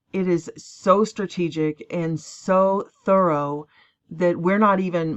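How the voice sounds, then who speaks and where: A tired woman rambling emphatically as she introduces a clip, breaking into quick mocking asides. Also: sits low. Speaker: online prophecy channel host. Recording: dull lo-fi recording.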